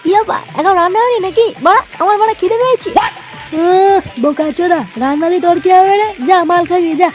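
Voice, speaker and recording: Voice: very high-pitched, fast, soft background music. An actor voicing a foul-mouthed, hot-tempered cartoon village slacker, crude and exclamatory, in squabbling comedy dialogue. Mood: grumbling.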